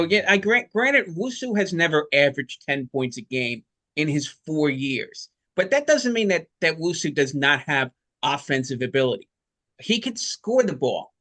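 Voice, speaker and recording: Male sports podcast co-host, slightly raised pitch, very bright tone, emphatic and explanatory basketball talk. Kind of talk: conversational basketball analysis.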